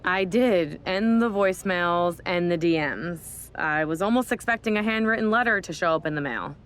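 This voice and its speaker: A woman playing a skeptical wrestling executive in a video game, speaking in a dry, firm, measured way. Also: fairly low pitch, clean tone, close to an everyday voice. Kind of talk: scripted video game dialogue.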